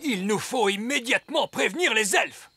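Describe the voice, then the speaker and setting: Pompous, high-voiced old wise elf. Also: male voice, lively intonation, normal speed, vocal fry, heavily acted. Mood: bossy. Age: older. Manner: pompous, emphatic, lecturing. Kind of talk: French cartoon dubbing. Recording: music behind the voice.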